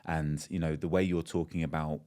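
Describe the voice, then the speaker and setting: A man with a very low voice hosts a casual interview. He talks informally, often repeating and reworking his phrases as he goes. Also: flat delivery, fairly quick, dark tone. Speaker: podcast host, company CEO.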